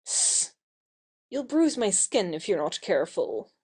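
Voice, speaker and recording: Lively, wilful young coquette, acted by a woman. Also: mid-range voice, breathy edge. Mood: dismissive, softening. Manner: coquettish, brisk. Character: playful, wilful young actress, teasing her suitor. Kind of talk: audio play acting.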